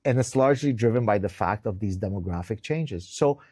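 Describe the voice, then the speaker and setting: A man's voice: fairly low pitch, animated, fairly quick, darkish tone, no room sound, clean studio sound. A middle-aged man from Lebanon, giving emphatic interview answers, often repeating words as he starts and tagging points with "right?". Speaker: interview guest, author. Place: indoors.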